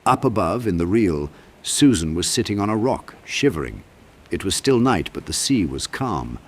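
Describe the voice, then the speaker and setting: Deep-voiced man narrating dark fantasy. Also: big pitch swings, moderate pace. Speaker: audiobook narrator. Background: low ambient sound design.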